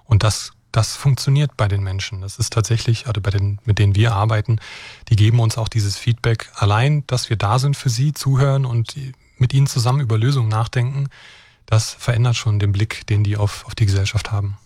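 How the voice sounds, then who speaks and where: A man's voice: on the low side, steady tone, a little fast, a little mellow, no room sound, clean studio sound. A middle-aged man from Brandenburg explaining his work as an expert guest. He talks fluently and earnestly, often checking in with "ja?" and "ne?". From Brandenburg, Germany. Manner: fluent, earnest, keeps adding "ja?" and "ne?" tags. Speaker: guest expert on extremism and deradicalization. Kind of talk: explanatory interview answers.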